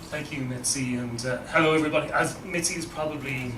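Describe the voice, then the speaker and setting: An Irish man talks to an audience in a relaxed, anecdotal way with a touch of wry humor. The microphone sits some distance from him, so his voice carries the echo of a large room over a steady background hum. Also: mid-range voice, fast talker. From Ireland. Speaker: guest speaker, nonprofit CEO. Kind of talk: informal presentation to an audience. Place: event room. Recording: roomy, distant mic, background noise.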